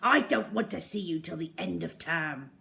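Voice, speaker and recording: Woman playing a stern schoolmistress, storybook character, loud, commanding, furious, background noise. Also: on the low side, normal speed, creaky, exaggerated voice. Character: stern, furious female schoolteacher yelling at pupils.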